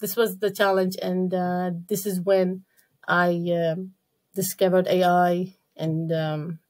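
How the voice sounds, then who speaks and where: A woman from Iraq, a podcast guest, talking casually and haltingly with many fillers and restarts. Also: lowish voice, level tone, darkish tone. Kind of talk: casual interview talk.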